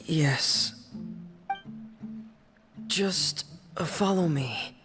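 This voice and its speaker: A man voicing a shy, earnest teenage younger brother in a video game, speaking in a hesitant, halting way. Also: fairly high pitch. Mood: evasive, reluctant. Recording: music behind the voice.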